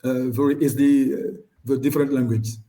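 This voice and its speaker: A man's voice: medium pitch, fairly even intonation, fairly quick, dark tone. A man speaking as a panel guest, repeating words and searching for how to put his thoughts. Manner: groping for words, repeating himself.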